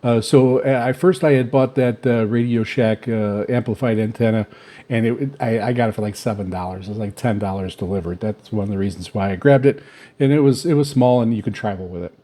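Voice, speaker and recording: A man giving an unscripted, casual product talk as a hobbyist, rambling along haltingly with very frequent filler pauses. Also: fairly low pitch, fairly even intonation, dark tone. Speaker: shortwave radio hobbyist reviewing antennas.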